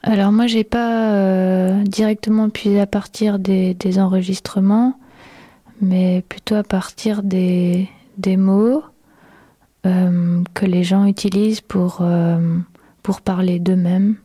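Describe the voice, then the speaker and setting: A woman hosting a radio show, chatting in a relaxed, hesitant way with many fillers. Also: mid-range voice, slow pace, no room sound. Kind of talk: casual radio chat.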